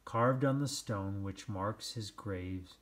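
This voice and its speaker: A man narrates a children's book in a fairly low voice. He takes it very slowly, with deliberate phrasing and dramatic pauses between short phrases. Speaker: storybook reader. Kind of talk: scripted children's read-aloud narration.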